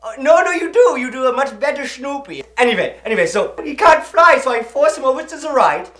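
A high voice in a roomy, echoing space as a performer acts out a First World War German fighter pilot. The pilot is boastful, excitable and gleefully bloodthirsty. It is sketch comedy storytelling, eager and full of relish. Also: fairly quick.

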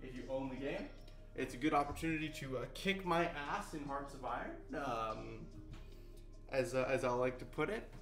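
A young Canadian man streams live from his home kitchen, chatting casually while he cooks. His middle-pitched voice wanders through fillers and half-started sentences. The room is echoey and faint music plays underneath. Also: lively intonation, normal speed, a bit bright. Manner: rambling, filler-heavy, many restarts. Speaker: cooking streamer.

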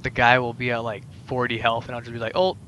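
A man's voice: mid-range voice, fast, a little hiss or hum. A young man hosting a Minecraft let's play, chatting casually with friends over a voice call in a rambling, filler-heavy way. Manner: rambling, filler-heavy, animated gamer talk.

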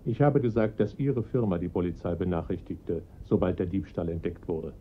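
Husky, formal police inspector, tinny narrow sound, radio drama. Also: male voice, low voice. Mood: measured. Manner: measured, formal, reassuring. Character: calm, dutiful middle-aged male police inspector.

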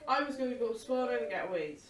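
A woman's voice: on the high side, animated, racing, crowd noise. A woman chatting casually about food and her week, in a gossipy way. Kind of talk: casual kitchen chat. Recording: echoey room, heard from far off, voices around.

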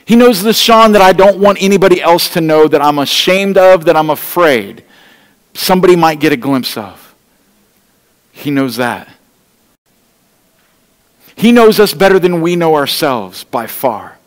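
Middle-aged man, pastor, earnest pleading sermon, slightly high voice, church hall reverb. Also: expressive, measured pace, pauses often. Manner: earnest, pleading, direct address to congregation; walks the stage holding an open Bible. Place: church stage, large room indoors.

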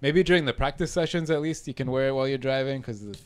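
Smooth-voiced rambling podcast co-host. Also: male voice, mid-range voice, fast. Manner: rambling, hedging, chuckles often. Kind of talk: casual chat.

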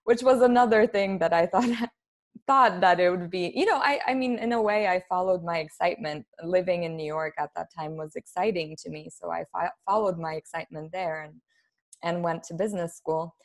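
A woman's voice: lowish voice, a little fast. A woman in her thirties from Poland, talking informally and ramblingly as an interview guest on a podcast. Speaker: podcast guest, human design expert. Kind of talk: casual interview chat. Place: plain room at home, on a video call.

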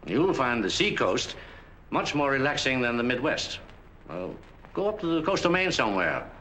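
An actor playing an elderly clinic doctor in a 1940s film, giving measured, emphatic advice. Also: male voice, mid-range voice, pushed and bright. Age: older. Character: elderly wise clinic doctor, kindly, lecturing. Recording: faint hiss on old film soundtrack.

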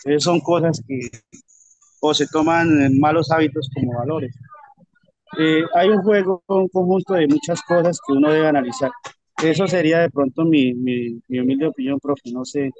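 A man giving a student's opinion in an online class, rambling and halting, often repeating words. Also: on the high side, lively intonation, gravelly, darkish tone, no room sound. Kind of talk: class discussion comment. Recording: dry muffled video call audio.

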